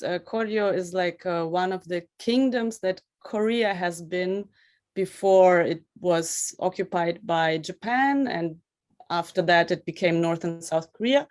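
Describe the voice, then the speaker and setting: A woman's voice: fairly low pitch, darkish tone. A woman from Russia, a film director, answering interview questions thoughtfully over a video call, searching for words as she explains. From Russia, raised in Ukraine.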